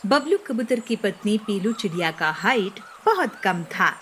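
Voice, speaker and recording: A woman's voice: medium pitch, very animated, moderate pace, heavy background noise. A woman narrating a scripted cartoon story as its storyteller.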